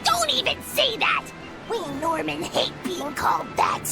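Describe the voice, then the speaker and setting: A woman voicing a small, excitable spirit creature in a video game cutscene, whiny and theatrical. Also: very high-pitched, measured pace, creaky, heavily acted. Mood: indignant, whiny. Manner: theatrical, exclamatory. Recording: music under the voice.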